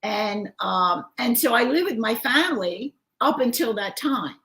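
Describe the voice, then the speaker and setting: An older woman with a bright, ringing voice chats easily on a live show. She talks like a grandmother sharing stories, laughing often and reading comments from viewers. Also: on the high side, lively intonation, a little slow. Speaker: co-host, grandmother. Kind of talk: casual chat on a live show.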